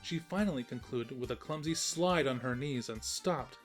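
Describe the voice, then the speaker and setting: A man playing a snarky, defensive invisible narrator of a comic pony story, reading in a wry, expressive storytelling manner. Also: medium pitch, normal speed, faint music behind, close to an everyday voice. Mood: narrating. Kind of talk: scripted narration of comic fan fiction.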